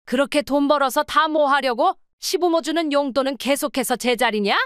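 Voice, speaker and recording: A woman voicing a greedy nagging older mother-in-law, high voice, reproachful, radio drama. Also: moderate pace, a bit bright, dry recording, studio quality. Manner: reproachful, demanding.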